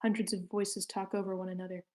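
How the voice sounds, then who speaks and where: A woman's voice: mid-range voice, flat delivery, quick pace, a little mellow. A woman narrating the stage directions of a screenplay table read in short, matter-of-fact sentences.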